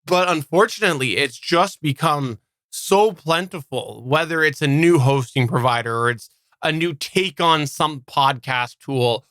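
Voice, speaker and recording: Bright, crisp-voiced man co-hosting a podcast. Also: a little high, dry recording. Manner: halting, repeats words as he goes. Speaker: podcast co-host. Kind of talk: casual live podcast chat.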